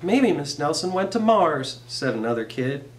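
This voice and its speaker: A man's voice: on the high side, very animated, normal speed. Man, 40s, storybook read-aloud, echoey and away from the mic. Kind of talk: reading a picture book aloud. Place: school office with bookshelves. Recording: roomy, distant mic, faint hum.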